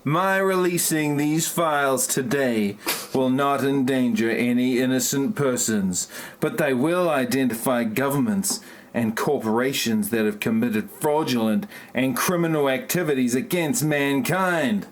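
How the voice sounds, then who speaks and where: A man's voice: mid-range voice, a little slow, slight background noise, lightly acted. A man playing an earnest, self-important novelist and hacker in an amateur parody audio play. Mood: righteous.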